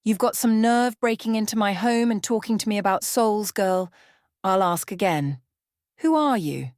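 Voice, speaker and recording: A woman's voice: mid-range voice, lively intonation, moderate pace, a little mellow, no echo, clean studio sound, pitched above the performer's own voice, natural voice. A woman voicing an arrogant, scoffing middle-aged male crime boss in a storybook reading. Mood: irritated. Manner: scoffing, barking, irritated.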